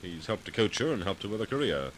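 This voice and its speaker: Suave male radio detective: low-pitched, measured, wry, probing; 1940s mystery style, slight recording noise. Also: big pitch swings, a bit bright. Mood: thoughtful. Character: calm, commanding amateur sleuth with a suave, wry edge.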